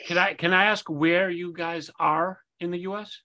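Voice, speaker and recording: A man's voice: sits high, fairly even intonation, moderate pace, pushed and bright. A man hosting an interview over a video call, eager and enthusiastic, often stumbling over repeated words as he starts his sentences. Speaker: host. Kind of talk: casual interview chat.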